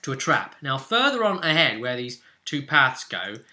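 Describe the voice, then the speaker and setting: A man working as game master, casually recapping tabletop game play in an animated, joking way and acting out moments as he goes. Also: mid-range voice, moderate pace.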